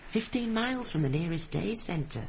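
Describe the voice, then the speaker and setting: A brisk, officious social worker in a radio play. She has a low voice with lively movement in pitch and a matter-of-fact manner, over faint ambience. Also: gravelly, a little hiss or hum. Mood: reproving, matter-of-fact. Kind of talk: radio play acting, conversational.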